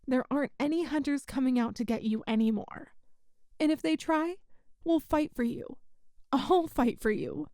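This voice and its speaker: A woman playing a kind, earnest human rescuer in an ASMR roleplay, speaking in a gentle, reassuring, folksy way to the listener. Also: sits high, moderate pace, no echo, studio quality. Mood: tender, reassuring.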